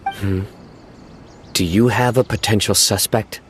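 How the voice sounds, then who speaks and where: Low-voiced, stern lawman. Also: husky. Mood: grave. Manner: measured, deadpan, commanding. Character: stern, young male lawman, cold and authoritative. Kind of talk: video game character acting.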